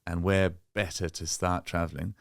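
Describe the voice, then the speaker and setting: A middle-aged man, born in Bangladesh and raised in England, talking through his story as a guest in a relaxed, friendly interview. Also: low voice, normal speed, vocal fry, dry recording, studio quality. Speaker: guest, sports science professor.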